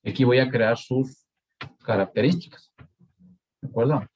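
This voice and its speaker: A man's voice: medium pitch, darkish tone, slight background noise. A man with an Ecuadorian Spanish accent teaching a live coding lesson, stopping often to ask the students whether they are following. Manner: slow, checks in with frequent "¿sí?" and "¿de acuerdo?". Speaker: university lecturer.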